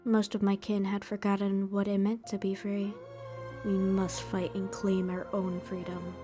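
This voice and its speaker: A woman's voice: mid-range voice, monotone, a little slow, warm tone, lightly acted. A woman playing a proud young slave warrior in a comic dub, speaking solemnly, formally and bluntly over music. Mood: resolute. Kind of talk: dramatized fan comic dub.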